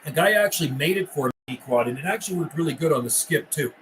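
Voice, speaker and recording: A man's voice: on the high side, expressive, fast, fairly bright tone. An older man, chatting casually and calling out as a CB radio operator on a livestream, in a folksy way with repeated radio phrases. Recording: roomy, distant mic, faint noise.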